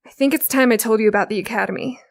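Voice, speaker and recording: A woman's voice: on the high side, racing, natural voice. A woman playing a tough, guarded young fighter in an audio drama, curt and defensive. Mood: guarded confession.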